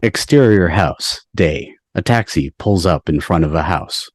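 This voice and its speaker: A man's voice: low-pitched, animated, unhurried, no room sound, studio quality. A man on a video call reading stage directions as narrator of an amateur table read.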